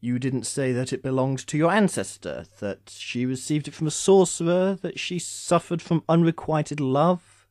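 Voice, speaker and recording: A man's voice: a little high, moderate pace, a little mellow, no echo, lightly acted. A man playing an urbane immortal sorcerer in an audio drama, speaking formally with dry, sardonic impatience. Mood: smug, sardonic. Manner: wry, formal, theatrical phrasing.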